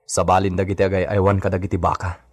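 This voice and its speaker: An actor playing an earnest, conciliatory young hacienda man, a dutiful son, in an Ilocano radio drama with a husky voice. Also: low voice, flat delivery, fast.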